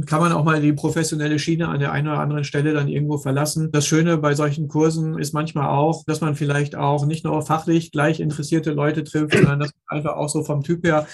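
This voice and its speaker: A man with a very even, gravelly delivery and a muted, soft tone hosts a conversational interview. He speaks as a mentor, affirming and complimentary toward his guest. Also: on the high side. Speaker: host, orthodontics mentor interviewing a colleague.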